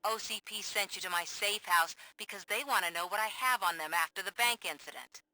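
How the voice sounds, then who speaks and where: An actress playing a determined, wary investigative reporter in a video game, with a pointed, challenging way of talking. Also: female voice, mid-range voice, a little fast, sharp tone, dry recording, poor audio. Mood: wary, insistent. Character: determined, defiant investigative reporter woman, wary and sharp-tongued.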